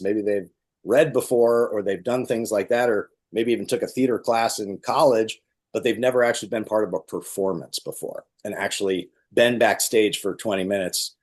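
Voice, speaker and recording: A man's voice: mid-range voice, a little mellow. A man speaks in an ordinary mid-range voice. He is an interview guest, talking casually and explaining in long, rambling sentences that often circle back on a phrase. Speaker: guest, amateur stage actor.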